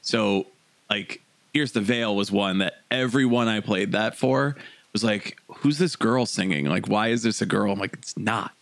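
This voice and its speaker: A low-voiced man hosts a podcast and tells a story in an easygoing way. His voice sounds dry and close, with no room around it. He swears lightly now and then. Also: unhurried, fairly bright tone, no room sound. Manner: loose storytelling, mild swearing. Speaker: podcast host. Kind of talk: casual podcast chat.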